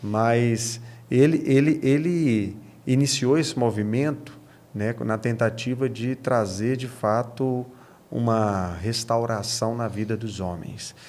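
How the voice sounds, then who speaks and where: A Brazilian man answering as a podcast guest, speaking conversationally and haltingly, often tagging phrases to check agreement. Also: medium pitch, darkish tone.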